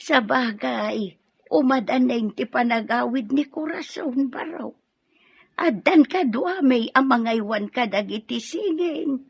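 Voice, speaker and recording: Woman doing a gravelly old grandmother. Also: high-pitched, slow pace, no room sound, exaggerated voice. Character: old grandmother, fretful and doting. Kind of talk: Ilocano radio drama acting. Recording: dull lo-fi audio.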